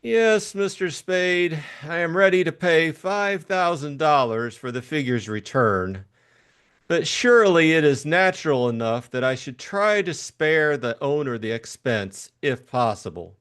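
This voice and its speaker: A man's voice: a little high, lively intonation, unhurried, fairly bright tone, clean tone, voice pushed up. A man voicing a suave, effete male schemer from a crime novel, with formal, mannered, evasive politeness. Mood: suave, polite.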